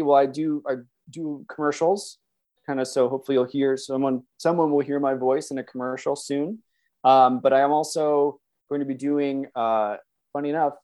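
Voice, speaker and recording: A man who works as a voice actor, chatting casually and enthusiastically as an interview guest. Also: mid-range voice. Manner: enthusiastic, animated self-interruptions.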